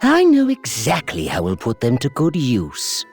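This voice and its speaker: Anime game villain: imperious scheming tyrant, haughty and grandiose, wildly animated intonation over music. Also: female voice, very deep voice, a little slow, exaggerated voice. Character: imperious scheming alien tyrant, cold and theatrical. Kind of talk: anime video game voice acting. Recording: music behind the voice.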